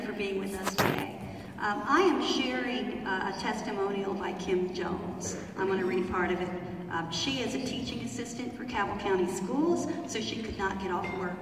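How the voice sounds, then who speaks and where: A woman's voice: mid-range voice, background noise. A woman at a town hall reading out a written testimonial, carefully and with small fillers. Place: large theater hall. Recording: echoey hall from far off.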